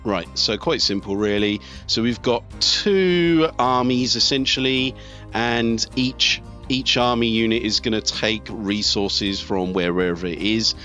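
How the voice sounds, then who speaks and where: Low-voiced man doing game commentary. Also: measured pace, sharp tone. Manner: conversational, thinking aloud. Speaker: video host, gamer. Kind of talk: casual let's play commentary on a strategy game. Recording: game music behind the voice.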